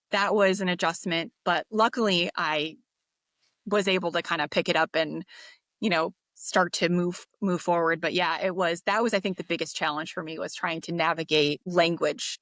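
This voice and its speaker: A woman from the United States, a podcast guest, talking in a relaxed, reflective way with fillers and restarts. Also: lowish voice, dry recording. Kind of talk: casual interview talk.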